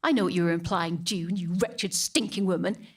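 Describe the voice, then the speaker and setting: A British woman acting a devout, defensive churchgoer with a guilty secret, delivering a halting, indignant dramatic monologue that repeats phrases for emphasis. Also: fairly low pitch, husky. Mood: outraged. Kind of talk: dramatic monologue to a police officer.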